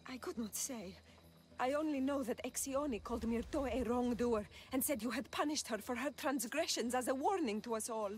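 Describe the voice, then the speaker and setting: A woman's voice: fairly high pitch, moderate pace, close to an everyday voice. An actress playing a timid, worried village woman in a video game, effusive and deferential in her manner. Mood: fearful, pleading. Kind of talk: video game cutscene acting. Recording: clear ambient noise behind.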